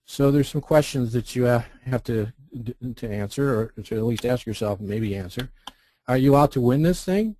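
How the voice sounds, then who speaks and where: A man's voice: mid-range voice, mellow. A man presenting a webinar in an explanatory, instructional manner, walking through options step by step. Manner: instructional, poses rhetorical questions, lists options step by step. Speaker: presenter, amateur radio contest representative.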